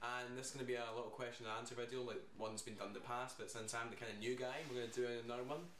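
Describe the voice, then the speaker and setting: A young Scottish man in a band, chatting casually in a video Q&A, laid-back and trailing off softly. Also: mid-range voice, fairly even intonation, rapid-fire. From Scotland. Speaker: band singer. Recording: echoey room, mic far off, faint noise.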